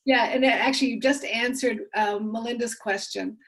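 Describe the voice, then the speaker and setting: Higher-voiced woman hosting an author talk. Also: steady tone, moderate pace, a bit bright, a little hiss or hum. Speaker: event host. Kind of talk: reading audience questions.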